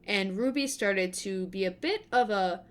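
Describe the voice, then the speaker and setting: A young woman delivering opinionated, scripted video-essay commentary with casual asides, in an expressive, emphatic manner. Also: medium pitch, a little slow. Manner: expressive, emphatic, gushing and griping. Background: background music.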